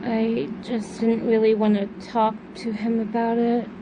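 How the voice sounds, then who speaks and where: A woman playing a subdued, gentle young daughter in an amateur audio drama, speaking haltingly and trailing off. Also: a little high, flat delivery, background noise. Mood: hesitant, subdued.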